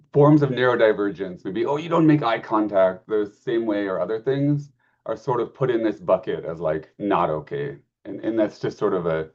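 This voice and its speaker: A man's voice: fairly low pitch, a little mellow, dull audio. A man answering as a panelist, thoughtful and searching for words.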